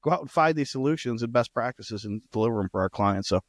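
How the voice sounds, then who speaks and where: A man's voice: medium pitch, lively intonation, fast, dry recording, studio quality. A man co-hosting a casual marketing podcast, talking in a reflective way and summing up points. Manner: sums up, reflective and conversational. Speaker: podcast co-host.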